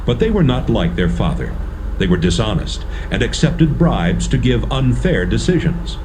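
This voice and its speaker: A man giving measured, storytelling narration for a dramatised audio Bible. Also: medium pitch, big pitch swings, noisy background.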